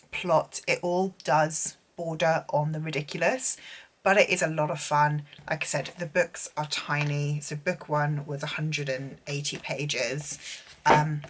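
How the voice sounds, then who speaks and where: A woman's voice: sits low, a bit bright. A woman vlogging about books in a rambling, casual way, full of fillers and restarts. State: losing her voice, tired and unwell. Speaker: book vlogger. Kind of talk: casual chat.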